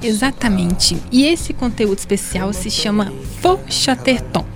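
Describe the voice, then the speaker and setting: A woman's voice: medium pitch, lively intonation, a little slow, over music. A woman with a Brazilian Portuguese accent co-presenting a radio show in a playful, chatty way with jokey asides. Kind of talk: casual radio chat.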